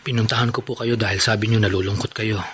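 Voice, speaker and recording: A man playing a polite young cousin in a Filipino radio drama, speaking in a gentle, reassuring way. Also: lowish voice, level tone, fast, over music, lightly acted. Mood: concerned, reassuring. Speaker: Rodel, the cousin.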